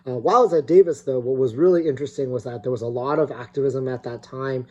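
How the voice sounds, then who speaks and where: A man's voice: mid-range voice, fast, dull audio. A middle-aged man from California giving a recorded opening talk as guest speaker, hesitant with many fillers and restarts. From California, United States.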